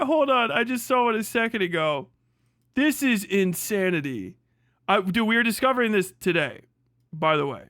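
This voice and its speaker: A male live streamer reacts casually with a high, bright voice. He is profane and exclamatory, and the sound is very dry. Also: dry recording.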